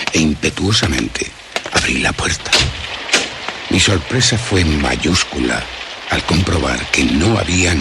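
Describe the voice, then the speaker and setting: A man's voice: very deep voice, gravelly, background noise, full character voice. A man playing an anxious philosopher who recounts a night of terror in a solemn, literary, suspenseful radio drama narration. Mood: tense, composed. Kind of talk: dramatic first-person horror radio narration.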